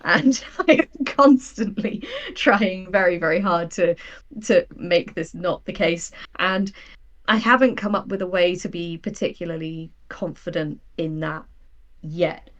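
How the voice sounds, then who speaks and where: A woman's voice: lowish voice, measured pace, fairly bright tone, highs cut off. A woman speaking as a guest writer in a reflective interview conversation, candid and explanatory about her own doubts. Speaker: guest, audio drama writer.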